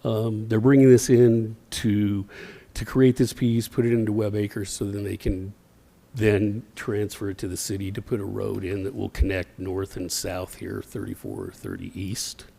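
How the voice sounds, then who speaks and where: A man on city staff gives a zoning presentation to a council. His voice sits low and gravelly, with a dark, mellow tone. He speaks steadily and plainly, with the odd um. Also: a little slow. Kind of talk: formal presentation at a council meeting.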